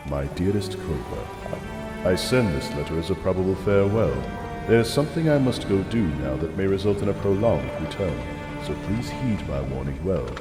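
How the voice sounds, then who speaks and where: Actor as male scholarly mentor, lowish, richly inflected, solemn, formal, reverberant, audio drama. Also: expressive, moderate pace. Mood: solemn warning. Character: grave, learned male mentor voicing his farewell letter. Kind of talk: audio drama acting, letter narration. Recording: echoey reverb under music.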